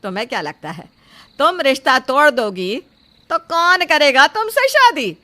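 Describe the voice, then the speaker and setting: A woman's voice: sits high, very animated, moderate pace, noisy background. A woman playing a greedy, haughty middle-aged mother-in-law in a story drama, condescending and bossy in manner. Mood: indignant, scornful. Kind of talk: dramatised Hindi moral story acting.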